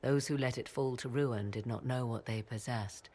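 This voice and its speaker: An actress playing a proud, mysterious sorceress in a fantasy video game, with theatrical, archaic and measured phrasing. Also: female voice, extremely low pitch, darkish tone. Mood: solemn, mysterious. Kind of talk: dramatic video game acting.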